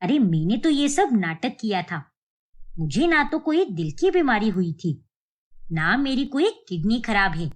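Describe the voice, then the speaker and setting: A woman's voice: a little high, big pitch swings, measured pace. A woman voicing a feisty old grandmother who scolds emphatically but lovingly, in a cartoon moral story. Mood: triumphant scolding. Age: older.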